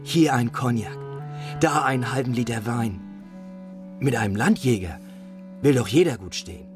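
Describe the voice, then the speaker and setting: An actor in a German radio drama playing an earthy, simple, lusty peasant soldier with a coarse, boastful manner. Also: male voice, mid-range voice, lively intonation, moderate pace, over music, natural voice. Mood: dreamy, proud.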